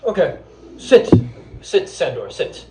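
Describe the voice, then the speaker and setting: A man playing a puzzled, fussy but genial psychoanalyst, speaking haltingly in an amateur comedy film. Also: fairly high pitch, sing-song, warm tone. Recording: echoey room with faint noise.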